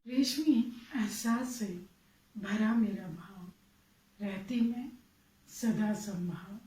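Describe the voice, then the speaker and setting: Woman host announcing in rhyme, mellow voice, far off in an echoey room. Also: mid-range voice, drawn-out words, a little hiss or hum. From Bengal. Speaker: event host. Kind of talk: rhyming stage introductions. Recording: roomy sound from a distance.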